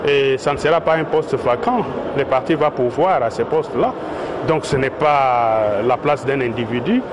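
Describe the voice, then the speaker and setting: A man speaking as a party official in a street interview, in a measured way with frequent hesitations. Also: medium pitch. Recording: loud traffic noise outdoors.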